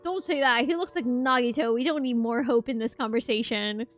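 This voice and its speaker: A woman streamer reacting casually and playfully to a game, excitable and joking with her chat. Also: on the high side, fairly quick. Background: game music.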